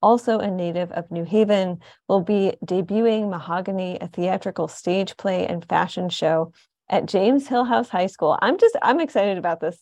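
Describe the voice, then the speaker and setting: A woman's voice: medium pitch, moderate pace, a little mellow, no room sound. A woman with an American accent casually hosting an interview in a conversational, enthusiastic way. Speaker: radio show host.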